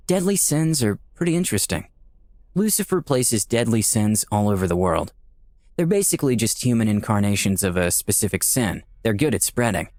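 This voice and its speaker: A man's voice: on the low side, sing-song, normal speed, a little mellow, no echo. A man playing a skeptical, dryly sardonic dead man in Hell, narrating a visual novel in a wry, conversational way. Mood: explaining.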